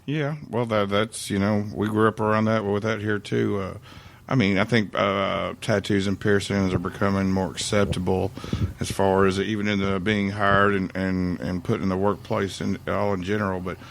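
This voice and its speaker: Podcast co-host, man from Tennessee, gravelly, rambling talker. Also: on the low side, level tone, normal speed, slight background noise. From Middle Tennessee. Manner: rambling, many fillers. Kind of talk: casual chat.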